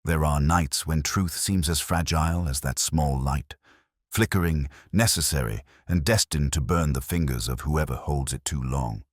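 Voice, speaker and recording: A man playing a brooding, world-weary police inspector who narrates his case in a measured, sombre, wry literary style. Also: a bass voice, no echo, studio quality. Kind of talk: literary first-person detective drama narration.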